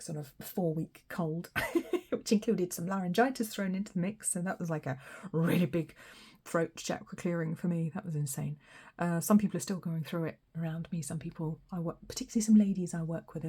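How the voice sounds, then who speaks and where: A woman with a hoarse, croaky voice after a cold, giving a chatty, encouraging tarot reading straight to the viewer. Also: fairly low pitch, dark tone. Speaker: tarot reader. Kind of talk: casual pick-a-card tarot reading.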